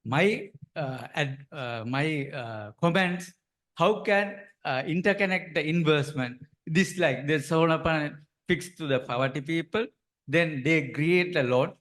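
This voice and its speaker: A man with a Sri Lankan accent, an official commenting from the audience at a conference in halting, short phrases with non-native grammar. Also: fairly high pitch, a bit bright. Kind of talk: unscripted comment at a conference discussion.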